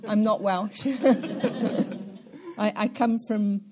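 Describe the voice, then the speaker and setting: An older woman from central Scotland with a Scottish accent, recalling her life in a measured, reflective way during an interview. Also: mid-range voice, background noise. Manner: measured, reflective, with occasional soft laughs and throat clearing.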